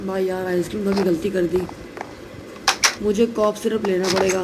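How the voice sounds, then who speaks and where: A man with a sore throat gives casual, chatty gameplay commentary, talking straight to his viewers and often clearing his throat. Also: high-pitched, level tone, normal speed. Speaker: gameplay video creator. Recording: noisy recording with clicks.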